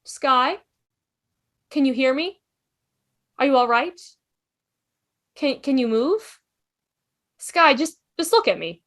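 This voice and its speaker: A woman playing a quick-thinking, reassuring time-travelling adventurer in a fan table read of a TV drama. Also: sits high, expressive, a bit bright, full character voice. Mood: gentle, coaxing. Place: online video call.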